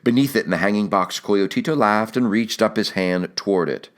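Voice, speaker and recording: A man narrating a literary audiobook in a measured, dramatic storytelling manner. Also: fairly low pitch.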